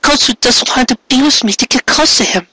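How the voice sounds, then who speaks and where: A man's voice: very high-pitched, a little fast, bright, forceful tone, breathy edge, no room sound, voice pushed up, exaggerated voice. A man voicing a distraught, frightened young mare pony in an audio story, with a breaking, pleading delivery. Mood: despairing.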